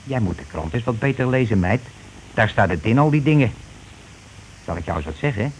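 A man's voice: medium pitch, expressive, brisk, a little mellow, natural voice. Radio drama husband male actor: blunt, bossy, indignant working man, pompous streak, mid-range voice, faint recording noise. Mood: scornful. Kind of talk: radio play acting. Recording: noisy old radio play recording.

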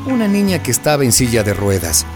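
An actor playing a folksy, dramatic storyteller narrating a radio drama. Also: male voice, medium pitch, wildly swooping pitch, quick pace. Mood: storytelling. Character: folksy male storyteller recounting a neighbourhood's disaster. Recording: music playing behind.

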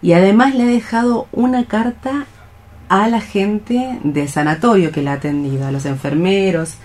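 A woman recounting things in casual radio talk, halting and padding her sentences with filler phrases. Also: medium pitch, a little mellow. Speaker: radio programme co-host. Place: radio programme conversation.

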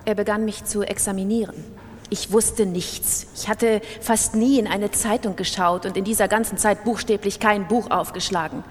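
A woman's voice: mid-range voice, fairly even intonation, normal speed, a little hiss or hum, close to an everyday voice. Woman as a troubled literary narrator, reverberant hall. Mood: resigned. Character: compulsive gambler narrating and defending themselves, troubled, defiant. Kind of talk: literary radio drama, dramatic reading.

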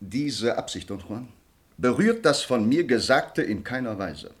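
A man's voice: mid-range voice, lively intonation, a little slow, close to an everyday voice. An actor playing a proud, indignant nobleman defending family honour in a classical radio play, formal and stern.

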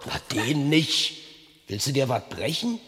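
An actor playing a gruff, bossy but protective caretaker in a radio play, blunt and colloquial. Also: male voice, mid-range voice, big pitch swings, measured pace, bright, forceful tone. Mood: chatty, instructing. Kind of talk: naturalistic German radio play acting.

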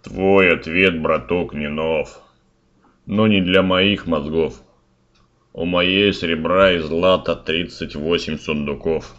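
A man's voice: low voice, steady tone, slow pace, fairly bright tone, muffled recording. A man voicing Ivan-type peasant fool, a slangy boastful lad, in rhymed comic verse for a fairy-tale audio story. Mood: brash. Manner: slangy, colloquial rhymed delivery.

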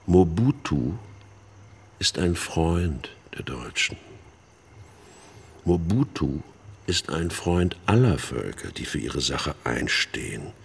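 An actor playing a sinister, smug older man in a radio play, speaking in a raspy voice with a deliberate, insinuating, condescending manner. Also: extremely low pitch, sing-song, full character voice. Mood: pompous, assured. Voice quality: husky, raspy. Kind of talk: radio drama dialogue.

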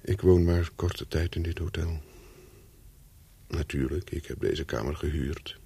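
Very deep, brooding, lonely man. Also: fairly even intonation, vocal fry, dark tone, breathy edge, full character voice. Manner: slow, brooding, deliberate. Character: lonely, brooding man narrating his own story. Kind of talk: radio play monologue and dialogue.

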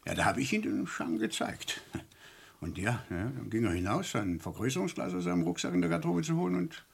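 Older colonel: genial, hesitant, creaky voice with very lively intonation, radio crime drama. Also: male voice, mid-range voice, fairly quick, exaggerated voice. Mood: genial, rambling. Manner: rambling, hesitant, repeats "ja". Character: courteous older colonel, rambling, genial uncle. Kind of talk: German crime radio play acting.

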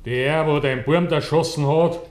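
Village yes-man played by a man, Bavarian, old radio drama: raised pitch, simple, evasive. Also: fairly even intonation, measured pace, natural voice. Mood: gossiping. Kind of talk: 1950s German dialect radio drama acting.

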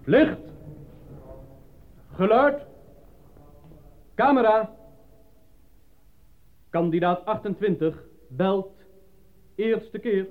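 A man's voice: high-pitched, animated, drawn-out words, pauses often, full character voice. An actor playing an impatient, curt male casting official who barks clipped questions in a radio play. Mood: shouting orders. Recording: narrow, phone-like old recording with noise.

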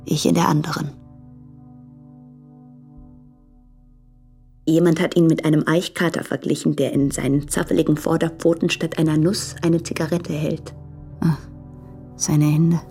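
An actress playing a lonely, despairing young woman who confesses in letters in a radio drama, with a husky, halting, subdued delivery. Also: low voice, flat delivery, warm tone, soft background music. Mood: lonely, wistful. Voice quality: breathy, husky with creaky edges.

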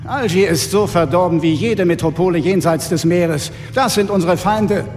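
An actor playing a haughty, fanatical intellectual villain in a radio drama, speaking in a pompous, preaching way. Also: male voice, sits high, moderate pace, a little mellow, slight background noise, close to an everyday voice. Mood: scornful, fanatical. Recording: long reverb, faint music behind.

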